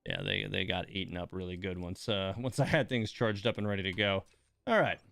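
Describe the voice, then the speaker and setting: A man's voice: sits low, a little fast, creaky, fairly bright tone, no room sound, faint music behind. A man giving casual live commentary as he plays a game, muttering and grumbling his reactions. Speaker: game streamer.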